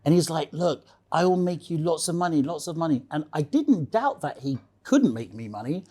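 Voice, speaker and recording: A man's voice: a little high, expressive, normal speed, mellow. A man speaking casually as an interview guest, telling anecdotes emphatically, repeating phrases and checking in with the listener. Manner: emphatic, repeats phrases, tags statements with "okay?".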